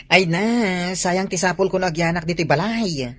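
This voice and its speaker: A woman's voice: on the low side, normal speed, natural voice. A woman playing an affectionate, dutiful young newlywed wife in an audio comedy drama.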